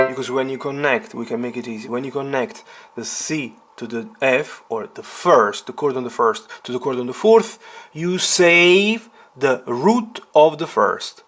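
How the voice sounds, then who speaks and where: A man's voice: mid-range voice, a bit bright. Male piano tutor, mid-range voice, methodical and repetitive explaining, piano in the background. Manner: didactic, step-by-step explaining with repetition. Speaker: piano teacher. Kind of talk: informal instructional tutorial at the piano. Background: piano being played.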